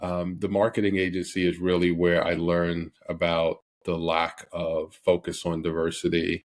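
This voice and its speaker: Middle-aged man from Barbados, deep monotone, halting guest with lots of ums. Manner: hesitant, many fillers and restarts. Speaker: podcast guest, company CEO. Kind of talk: casual interview.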